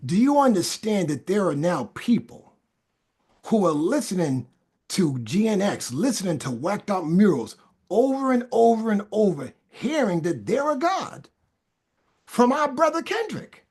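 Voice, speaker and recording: High-voiced man preaching fervently. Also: very animated, unhurried, darkish tone, husky. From Boston, USA. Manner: stammering restarts, repeats phrases for emphasis. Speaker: podcast guest. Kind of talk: casual talk building into fervent preaching.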